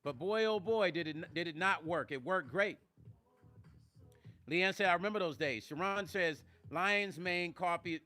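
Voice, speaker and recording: Bright-voiced man in his fifties. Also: sits high, moderate pace, soft background music. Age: 50s. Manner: animated, preachy, talks straight to viewers. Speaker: vlog host reading live chat. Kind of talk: casual livestream chat.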